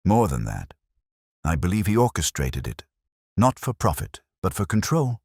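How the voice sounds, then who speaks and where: A man voicing a brooding, analytical gentleman detective in deliberate, declamatory speech for an audiobook. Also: deep voice, big pitch swings, husky, no room sound, studio quality, heavily acted. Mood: grave, certain.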